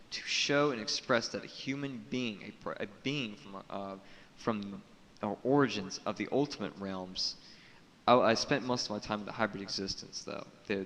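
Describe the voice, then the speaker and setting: A man's somewhat low voice with a forceful, bright edge, recorded in a reverberant room over audible hiss. He talks as a solo video blogger in a halting, solemn monologue. His phrasing is cryptic, with words repeated for emphasis. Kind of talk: unscripted spiritual monologue to camera.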